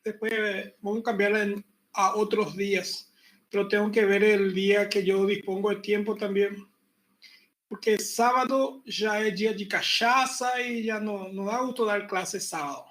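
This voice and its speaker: A man teaching an online university class, relaxed and chatty, repeating his points and joking with the students. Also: high voice, measured pace, low-quality recording. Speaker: university pathology lecturer. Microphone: computer mic on a video call.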